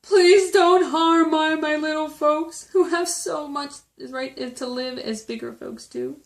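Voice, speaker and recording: A woman's voice: mid-range voice, expressive, normal speed, natural voice. A woman voicing a kind, worried, protective male elephant from a storybook, earnest and pleading. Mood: anxious, imploring. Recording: echoey room.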